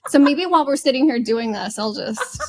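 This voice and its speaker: Higher-pitched woman chatting casually. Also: lively intonation, brisk. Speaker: podcast panelist. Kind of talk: casual podcast chat.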